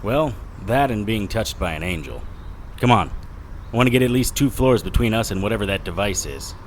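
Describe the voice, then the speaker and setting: A man's voice: fairly low pitch, animated, brisk, a little hiss or hum. A man playing a gutsy, wisecracking 1940s detective hero in an audio drama, with a wry, hard-boiled way of talking. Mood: wry, confident. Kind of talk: full-cast audio drama acting.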